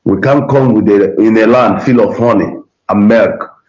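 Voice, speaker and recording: A man's voice: lowish voice, moderate pace, a little mellow. A man from Nigeria calling in to a radio show, respectful, thanking the host at length with many fillers. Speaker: caller. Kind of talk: phone-in call. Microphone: phone or online call. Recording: roomy, dull call audio.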